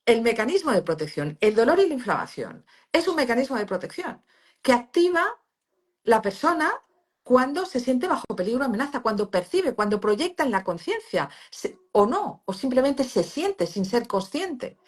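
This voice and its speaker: A middle-aged woman from Spain with a Spanish accent speaks with a fairly high, very animated voice. She explains things emphatically, like a teacher, with frequent fillers and restarts. Valencian is her first language. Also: moderate pace, husky. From Valencia region, Spain. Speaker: pain therapist, guest. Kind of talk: explanatory interview talk.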